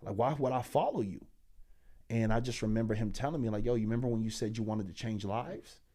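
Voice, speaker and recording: A man in his twenties, a pastor and speaker, telling his story as a podcast guest in animated, slangy talk. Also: lowish voice, a little fast. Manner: animated, slangy storytelling that climbs loud when excited.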